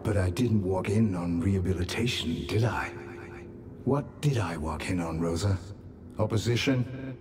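A man's voice: low voice, a little slow, music in the background. A man acting a stern, coldly dismissive colony leader, authoritative and condescending, in a video game cutscene. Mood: menacing, probing. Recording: clear ambient noise behind.

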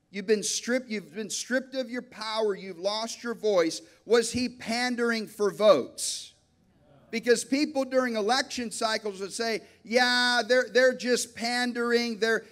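Guy preacher on stage w/ handheld mic, high pitched voice, slow n emphatic, big pauses. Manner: emphatic, deliberate, with dramatic pauses and swings in volume. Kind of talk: sermon to a church congregation. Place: large dark church auditorium, on stage before an audience. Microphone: handheld microphone.

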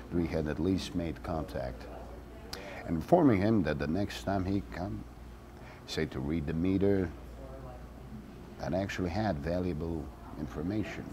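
A middle-aged man acting a theatrical monologue as a wry former activist with a grievance, speaking deliberately in short phrases with pauses. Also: deep voice, crowd noise. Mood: dry, knowing. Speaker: actor reading a monologue. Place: indoor room with shelves of glassware.

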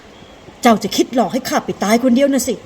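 Woman as storybook tiger, cowardly, suspicious, flustered, high pitch, noticeable background noise. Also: a little fast, darkish tone, close to an everyday voice. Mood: wary, defensive. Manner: flustered, defensive.

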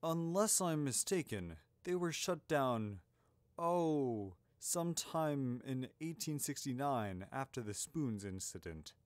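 A man's voice: mid-range voice, expressive, dry recording. A man voicing a grandfatherly old wizard headmaster in an audiobook story, courteous, wordy and a little puzzled. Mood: politely inquiring. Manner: genteel, wordy, halting over asides.

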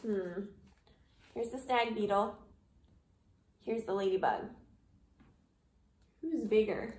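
A young woman reading a children's story aloud expressively, pausing to put questions to her young listeners. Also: medium pitch. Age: young adult. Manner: animated, questioning, addressing children. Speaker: kindergarten read-aloud reader. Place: small living room, indoors. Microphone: camera a short distance away. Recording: roomy home recording.

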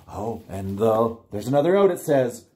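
A middle-aged American man reading a picture book aloud to young children in an animated, encouraging way. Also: medium pitch, a little slow. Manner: animated, exclaiming, simple encouraging talk to little ones. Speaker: children's librarian reading online storytime. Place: small plain room indoors.

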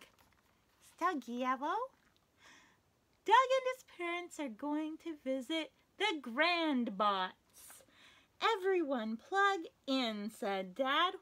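A young woman as a children's librarian reading a picture book aloud at story time, animated and sing-song, with playful animal noises. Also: high voice. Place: small indoor room, wood-panelled.

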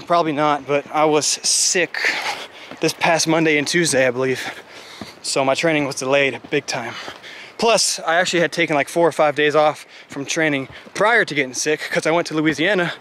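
A man's voice: a little high, a bit bright, slight background noise. A young man chatting and joking while hiking on a wooded trail, out of breath, filming himself with a handheld camera. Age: young adult. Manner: chatty, joking, rambling with fillers. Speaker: vlogger. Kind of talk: casual vlog while hiking. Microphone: handheld camera at arm's length.

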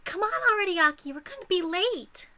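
A woman's voice: extremely high pitch, expressive, fairly quick, sharp tone, exaggerated voice. A woman voicing a cute, pushy teenage girlfriend in a visual novel, sweet and insistent, with scheming undertones. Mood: impatient. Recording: music playing behind.

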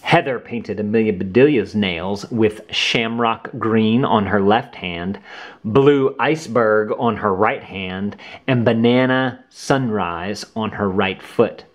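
Slow, expressive man reading to children. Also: fairly low pitch. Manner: expressive, deliberate phrasing for young listeners. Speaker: children's book reader and channel host. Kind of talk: animated read-aloud storytelling for children.

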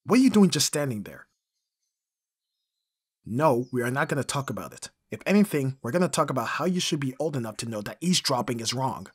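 A man's voice: mid-range voice, very animated, brisk, no room sound, studio quality. A man playing an abrasive, brutally honest bodyguard in an intimate audio roleplay, speaking bluntly with heavy sighs. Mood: exasperated, harsh. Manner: gruff, sighing, swearing, blunt. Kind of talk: intimate ASMR roleplay acting.

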